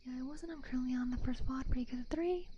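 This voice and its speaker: A high, breathy woman speaks in a soft, mellow whisper, close to the mic. She chats casually as an ASMR livestream host, gentle and playful. A faint noise sits behind her voice. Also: fast talker, highs cut off. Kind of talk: soft-spoken ASMR, casual chat with viewers. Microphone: close binaural ASMR microphone.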